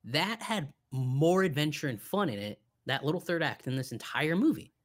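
A man's voice: a little high, lively intonation, moderate pace. A man co-hosting a podcast, chatting casually and with strong opinions about films. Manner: opinionated, conversational. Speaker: podcast co-host. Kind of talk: casual film review chat.

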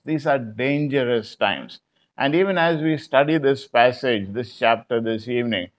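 A man of middling pitch delivers an evening Bible study sermon. He talks in a relaxed, conversational way, sprinkling in fillers. He repeats his key phrases for emphasis. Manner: conversational, many "you know" fillers. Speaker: preacher.

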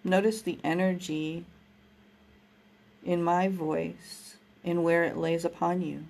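A woman guiding a breathing meditation, speaking in a slow, soothing, gentle way. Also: lowish voice, steady tone. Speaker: meditation guide.

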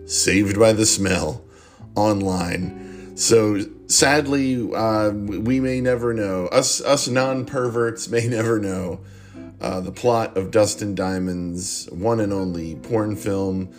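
A man's voice: on the low side, takes it slowly, soft background music. A middle-aged man hosting a podcast, losing his voice, rambling through a joking, self-mocking sign-off with frequent laughs. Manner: jokey, laughs often, self-deprecating. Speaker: podcast host. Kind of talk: casual rambling podcast outro.

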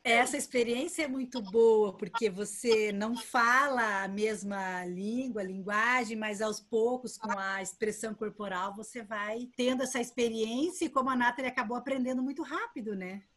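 A woman's voice: on the high side, moderate pace, few pauses, a bit bright. A woman co-hosting a casual talk, adding reflective comments. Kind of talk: casual interview.

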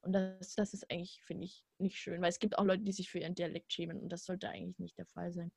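A woman's voice: lowish voice, little pitch movement, fast, gravelly, a little mellow, breathy edge, no echo, studio quality. A young woman from the Vogtland with a light regional accent, chatting casually and enthusiastically, often restarting sentences. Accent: Vogtland Saxon. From Vogtland, Saxony. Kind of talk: casual chat.

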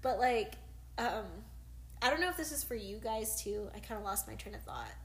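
A woman's voice: on the high side, expressive, moderate pace. A woman chatting casually and informally, dotting her sentences with "like" as a podcast co-host. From United States. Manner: informal, uses many likes. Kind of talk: casual chat.

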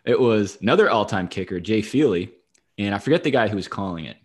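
Low-voiced man, dry sports banter. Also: lively intonation, fast talker, husky. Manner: dry, wry, profane. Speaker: sports podcast host. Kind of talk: casual banter and sports commentary.

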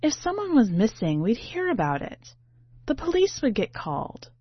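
A woman's voice: on the high side, wildly swooping pitch, moderate pace, husky, pitched above the performer's own voice, full character voice. Female reader as sassy young ice cream seller, playfully hostile, storybook dialogue, roomy sound. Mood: dismissive. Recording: muffled, roomy home recording.

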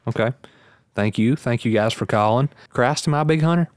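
A man's voice: mid-range voice, fast talker, no room sound, clean studio sound. A man hosting a casual podcast, rambling in an easygoing way and laughing often. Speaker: podcast host.

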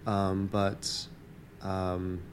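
Man from Taiwan, somewhat low voice, hesitant reflective talk, faint background hiss. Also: monotone, drawn-out words. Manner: hesitant, many fillers and restarts. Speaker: campus minister, guest. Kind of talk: reflective conversational interview.

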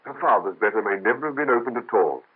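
An actor playing a firm, reassuring friend and fellow physician in an old radio drama. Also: male voice, medium pitch, lively intonation, quick pace, husky. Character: steady, commanding male friend and colleague. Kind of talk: 1930s radio drama acting. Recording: tinny old radio recording.

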